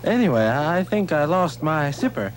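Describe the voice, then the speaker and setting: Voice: medium pitch, big pitch swings, moderate pace, lightly acted, rough recording. A performer voicing a timid, wisecracking bird henchman on a children's television show, grumbling and pleading. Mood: nervous pleading. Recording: music under 1970s television soundtrack.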